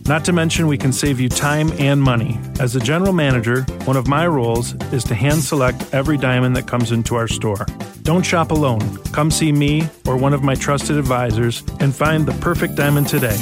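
A man's voice: mid-range voice, a little fast. An American man, a store manager, reading his own scripted radio advertisement. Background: music under the voice.

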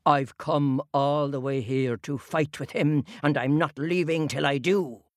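A woman voicing a huge, gruff, boastful male giant in a told folktale, in a loud, blustering way. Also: fairly even intonation, unhurried, dry recording, clean studio sound. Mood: booming. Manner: booming, blustering.

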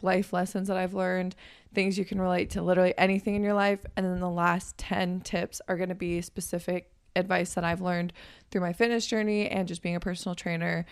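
A hoarse young woman in her twenties hosting a podcast in a chatty, upbeat way, her voice raspy from just waking up. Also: on the low side. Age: 20s. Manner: chatty and upbeat, with frequent fillers. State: hoarse from waking up and a weekend of talking. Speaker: podcast host and personal trainer. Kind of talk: casual chat.

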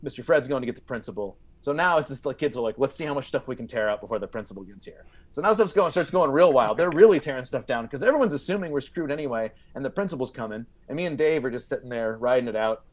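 A man telling comic stories as a guest comedian, in a halting way, repeating words and leaning on filler. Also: medium pitch, animated. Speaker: podcast guest, stand-up comedian. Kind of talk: animated comic storytelling.

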